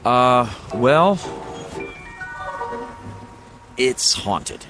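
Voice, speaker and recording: A man's voice: mid-range voice, very animated, extremely slow, music in the background. An actor playing a weary, earnest used car salesman in a radio drama, with a hesitant, folksy way of talking. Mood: earnest, uneasy. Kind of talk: old-time radio drama acting.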